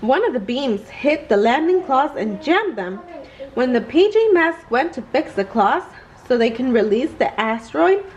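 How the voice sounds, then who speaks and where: A woman's voice: high-pitched, sing-song, moderate pace, muffled recording. A woman reading a children's bedtime story aloud, expressively and carefully. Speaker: storybook reader.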